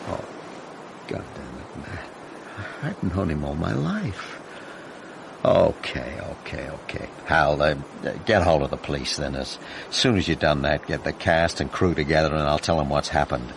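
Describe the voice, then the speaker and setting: An actor playing a brusque, callous, impatient film director in a radio mystery drama. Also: male voice, very deep voice, animated, moderate pace, vocal fry, noisy background, heavily acted. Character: domineering, callous American male film director.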